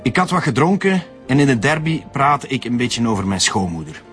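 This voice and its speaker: A man's voice: medium pitch, sing-song, a little fast, fairly bright tone, soft background music. An actor playing a slippery, indignant murder suspect protesting his innocence in a Flemish radio drama. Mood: defensive. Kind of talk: naturalistic radio drama acting.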